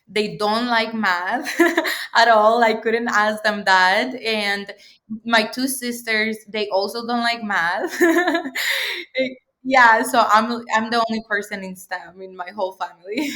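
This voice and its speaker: A woman's voice: on the high side, takes it slowly, pushed and bright. A woman in her twenties from El Salvador, a PhD student chatting as an interview guest, talking freely with many fillers and frequent laughs. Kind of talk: casual interview chat.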